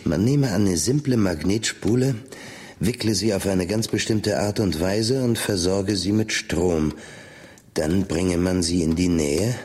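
Deep-voiced, coolly sardonic scheming narrator. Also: male voice, darkish tone. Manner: dry, matter-of-fact, sardonic. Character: cold, calculating businessman recounting his scheme. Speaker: narrator and lead character. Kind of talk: first-person radio drama narration.

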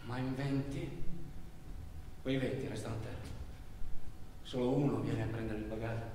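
A man performing a dramatic theatrical monologue, narrating a journey as a stage actor, swinging between hushed delivery and loud declamation. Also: medium pitch, steady tone, normal speed, slight background noise. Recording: echoey hall, distant mic, music behind. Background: music.

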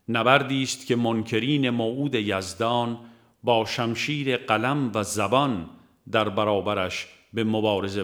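A man reading a religious book aloud as a formal, measured scripted narration. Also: lowish voice, a bit bright. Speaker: audiobook narrator.

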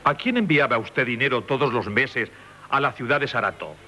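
An actor with a Castilian Spanish accent playing an insistent, formally courteous examining magistrate in 1970s radio theatre. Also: male voice, medium pitch, moderate pace, sharp tone, a little hiss or hum, natural voice. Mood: stern, official. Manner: formal, probing, insinuating.

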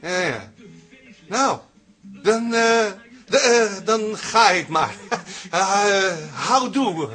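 An actor playing a shy, bumbling, polite professor in a radio comedy, stammering and awkward. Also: male voice, high-pitched, animated, extremely slow, sharp tone, soft background music, full character voice. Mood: nervous, smitten. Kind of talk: Dutch radio comedy drama acting.

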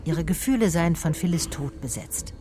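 A female private detective in a radio drama. Her voice sits very low for a woman, and she is composed and reassuring, with a quiet persistence as she narrates and questions. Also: lively intonation, normal speed, soft background music. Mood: reflective.

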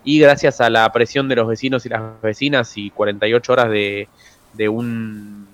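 Man, Rioplatense Argentine accent, medium pitch, radio host, casual chat. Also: flat delivery, moderate pace.

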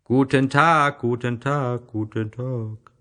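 A man's voice: mid-range voice, fairly even intonation, takes it slowly, fairly bright tone, voice pushed up. A man voicing a mountain echo in a storybook, repeating phrases that fade away each time. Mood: fading, mimicking. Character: mountain echo, hollow repeating spirit of the rocks.

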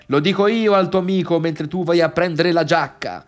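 A man's voice: a little high, moderate pace, soft background music, close to an everyday voice. A man playing a jovial, boastful older father in stage dialogue, hearty and emphatic. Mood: jovial, pushy.

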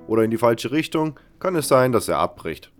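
A man playing a patient, matter-of-fact thief who teaches his craft in a fantasy audio drama, stressing things clearly. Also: mid-range voice, very animated, brisk, soft background music, natural voice. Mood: instructive.

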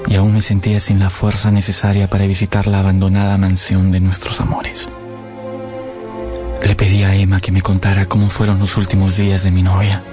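Radio drama: male actor for grief-stricken young man recounting lost love, lower register, emotional and literary, over prominent music. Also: monotone, fairly quick, lightly acted. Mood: mournful. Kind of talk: dramatised radio novel narration. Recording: music under the voice.

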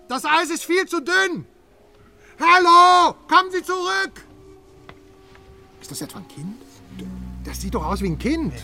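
An actor in a radio drama playing a brash West German investigative journalist, chatty, sardonic and emphatic. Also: male voice, very high-pitched, sharp tone, exaggerated voice. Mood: alarmed, urgent. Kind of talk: naturalistic radio drama dialogue. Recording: slightly distant voice, faint outdoor noise.

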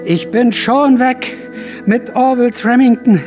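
A haunted old rebel storyteller with a notably high voice. He broods and rambles in radio-play monologue, paranoid yet defiant, with music underneath. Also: takes it slowly. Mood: defiant, exalted. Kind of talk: radio drama acting. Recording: music behind the voice.

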